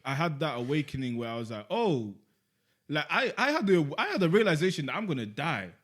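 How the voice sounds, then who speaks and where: A man's voice: a little high, sing-song, brisk, a bit bright. A young man, a podcast co-host talking in casual chat, reflective and rambling, with lots of "like" and "you get me". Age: young adult.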